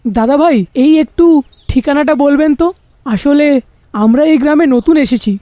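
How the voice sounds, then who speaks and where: An earnest, practical family man, acted by a woman, with a high, mellow voice and a dry studio sound. He speaks plainly, as a poor father in a cartoon horror story. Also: measured pace, no room sound. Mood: practical, urging.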